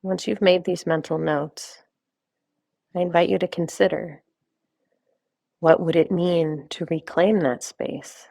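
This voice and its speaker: Low-pitched woman guiding a meditation, creaky. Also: steady tone, slow, pauses often, warm tone, dry recording, clean studio sound. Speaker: meditation guide, wellness coach. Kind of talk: guided meditation, gentle instruction.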